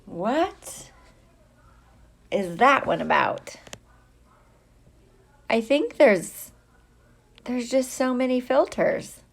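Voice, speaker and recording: A woman's voice: fairly high pitch, sing-song, very slow, gravelly, pauses often. A middle-aged woman, a teacher, chatting casually and playfully with young viewers on a livestream, drawing out her greetings. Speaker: teacher hosting a livestream for students.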